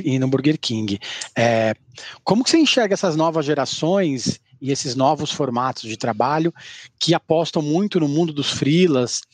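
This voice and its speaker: A man hosting a podcast, asking quick conversational questions. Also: medium pitch, no room sound. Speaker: podcast host. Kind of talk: interview questions.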